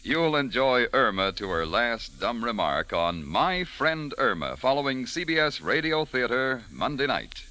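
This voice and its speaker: A man's voice: mid-range voice, big pitch swings, unhurried, hardly any pauses, sharp tone. An American man announcing in a polished, emphatic 1940s radio promo style.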